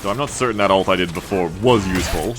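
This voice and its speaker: Male game streamer, mixed Australian accent, lowish voice, chatty play-by-play with clear game music behind. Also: quick pace. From Sydney, Australia. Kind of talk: casual live gaming commentary. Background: video game music and effects.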